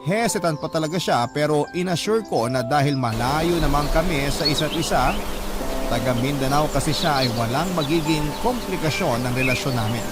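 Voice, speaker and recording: A man narrating a listener's confession letter in an earnest, polite way. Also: medium pitch. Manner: earnest, polite, addressing the host. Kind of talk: scripted confessional storytelling. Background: loud background music.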